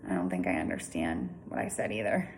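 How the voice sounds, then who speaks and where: A woman reading a children's story aloud in an informal, chatty, expressive way with casual asides. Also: a bass voice, a little fast, vocal fry, slight background noise, low-quality recording.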